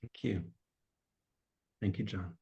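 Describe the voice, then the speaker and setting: Slow, hesitant man with a Polish accent. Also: on the low side, fairly even intonation, vocal fry, muffled recording. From Poland. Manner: hesitant, many fillers and restarts. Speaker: speaker. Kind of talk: reflective talk.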